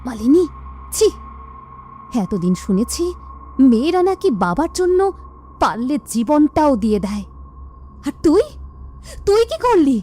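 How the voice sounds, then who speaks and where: A woman's voice: high-pitched, sing-song, a little slow, long pauses, warm tone, a little hiss or hum. A woman voicing a distraught middle-aged mother who pleads and scolds in a cartoon. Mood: reproachful. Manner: pleading, tearful.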